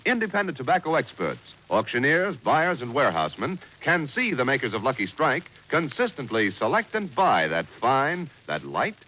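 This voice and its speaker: A man reading a 1940s radio advertisement in emphatic, measured phrases, as a commercial announcer. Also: mid-range voice, big pitch swings, bright, forceful tone. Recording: faintly noisy old radio broadcast.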